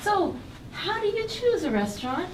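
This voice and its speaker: A woman teacher briskly explaining a group activity and asking questions. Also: very high voice, very animated. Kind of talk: classroom instructions. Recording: echoey hallway, distant mic, noise.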